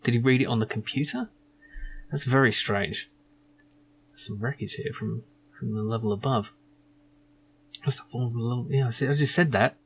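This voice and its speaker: A man with a husky voice hosting a casual video game playthrough, rambling through his asides. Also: medium pitch, moderate pace, pauses often. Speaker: gaming video host. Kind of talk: casual playthrough commentary, reading game dialogue aloud.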